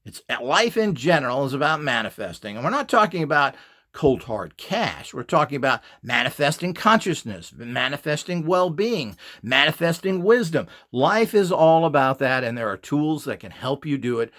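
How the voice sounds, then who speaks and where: Animated middle-aged man, higher-pitched, opinionated. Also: normal speed. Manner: opinionated, boastful, emphatic. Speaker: presenter of a how-to video series. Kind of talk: unscripted product demonstration and explanation.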